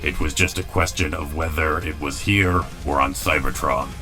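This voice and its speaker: Battle-weary robot commander, bottomless low pitch, stoic, solemn, comic dub drama, music underneath. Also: male voice, steady tone, normal speed. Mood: firm, commanding. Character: weary, stoic male robot commander, grave and self-doubting.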